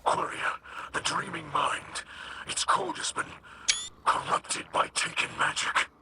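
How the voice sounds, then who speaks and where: A man playing a grave, wary alien captain and spiritual leader in a video game, with a breathy rasp and solemn, formal phrasing. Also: fairly high pitch, wildly swooping pitch, unhurried, heavy background noise, heavily acted. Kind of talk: video game character dialogue.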